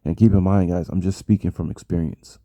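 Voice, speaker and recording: A man's voice: low voice, steady tone, fairly quick, mellow, dry recording. An American man speaking in a casual personal monologue to his audience, rambling and repeating phrases for emphasis. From United States. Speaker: vlogger.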